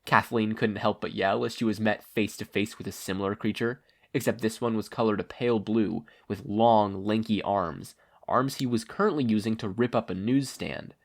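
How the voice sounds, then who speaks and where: A person narrating a story as an audiobook-style reading, with expressive delivery. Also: mid-range voice, moderate pace. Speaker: fan fiction podfic reader.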